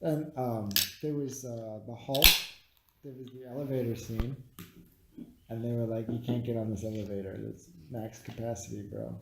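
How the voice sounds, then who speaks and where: A man's voice: medium pitch, steady tone, normal speed, gravelly, heavy background noise. A man who has had some beers, chatting casually as a co-host reviewing a film, with slangy talk and free swearing. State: has been drinking beer. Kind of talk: casual chat. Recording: echoey, roomy sound.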